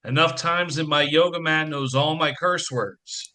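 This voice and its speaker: An actor playing a wry investor and young father in an audio play, brisk, wisecracking and in charge. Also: a little high, steady tone, sharp tone. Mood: wry, businesslike.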